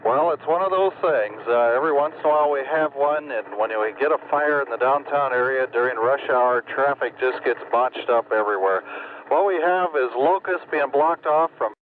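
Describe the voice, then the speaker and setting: Male traffic reporter, medium pitch, folksy and unscripted, narrow radio link with light noise. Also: moderate pace. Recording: narrow two-way radio, faint vehicle noise.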